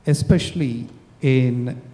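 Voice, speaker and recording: A man's voice: medium pitch, slow pace, dark tone. A man in his thirties, a surgeon on a conference panel, thoughtful with hesitant starts. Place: large conference hall, seated on stage. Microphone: handheld microphone. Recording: reverberant hall with faint noise.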